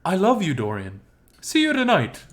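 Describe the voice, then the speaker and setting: Man voicing an eager, affectionate wolf boyfriend, raised pitch. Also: huge pitch swings, moderate pace. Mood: loving. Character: affectionate, eager, boyish male wolf boyfriend with sweet tooth.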